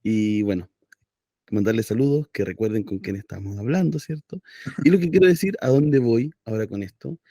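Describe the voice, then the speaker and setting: A man's voice: fairly low pitch, moderate pace, warm tone, no echo, clean studio sound. A middle-aged man hosting a casual radio interview, hesitant and checking in with "¿cierto?". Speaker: radio host.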